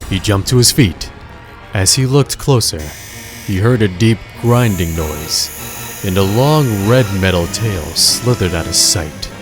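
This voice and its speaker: A man with a fairly low voice narrates a scripted story. His delivery is measured and dramatic, in storybook fashion, with faint music underneath. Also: animated.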